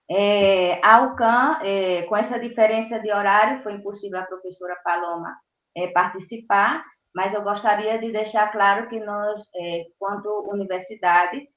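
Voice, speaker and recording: A woman professor from Brazil speaks at a webinar over a video call, pausing often as she searches for words. The sound comes through a roomy space and a dull, band-limited line that blurs the top end. Her pitch sits in an ordinary middle range. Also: a bit bright. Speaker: university professor. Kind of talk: webinar talk.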